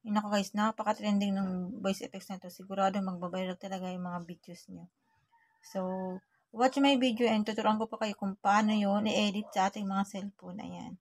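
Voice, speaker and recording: A woman's voice: medium pitch, fairly even intonation, moderate pace, no room sound. A woman with a Filipino accent giving a casual, chatty step-by-step tutorial, often repeating her instructions to viewers. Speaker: vlogger giving a phone editing tutorial.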